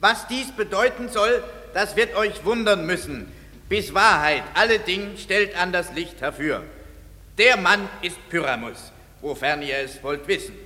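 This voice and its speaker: Pompous amateur herald. High, bright, sharp voice with long reverb. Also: male voice, lively intonation, measured pace, full character voice. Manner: stilted, pompous recitation. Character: earnest amateur craftsman announcing a play. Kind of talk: classic radio play acting.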